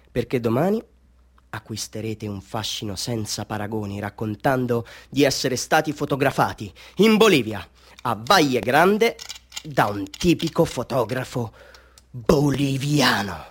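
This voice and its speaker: A man playing a boastful small-time Bolivian street photographer in a radio drama, pitching to tourists in a pompous, confiding, salesman-like way. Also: husky. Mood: breezy sales patter. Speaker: actor in a radio drama monologue. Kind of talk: theatrical radio monologue addressed to tourists.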